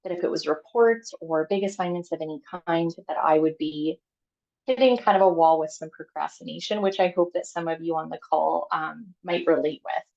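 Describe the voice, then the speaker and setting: A woman from Canada in her thirties talks through her own story on a call, candid and chatty, full of fillers and restarts. Her voice sits low with a gravelly fry. The audio is dull and lo-fi. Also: fairly even intonation, normal speed, a little mellow. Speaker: guest speaker. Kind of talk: personal talk on a video call.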